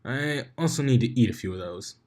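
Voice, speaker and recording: Blitz, a man doing a deadpan young colt. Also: medium pitch, a little fast, lightly acted. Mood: dry.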